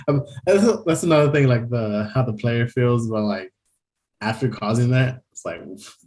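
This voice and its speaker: A man's voice: medium pitch, normal speed, a little mellow. A young man co-hosting a podcast in casual chat, talking loosely with lots of laughter and fillers. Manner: chatty, laughs often, many fillers. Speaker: podcast co-host.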